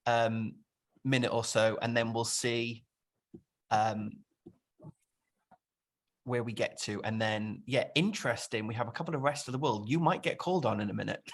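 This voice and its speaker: A man from the UK co-hosting a casual video call party, chatty and lively with a self-mocking humor. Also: mid-range voice, moderate pace, pauses often. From United Kingdom. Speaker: co-host. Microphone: video call mic.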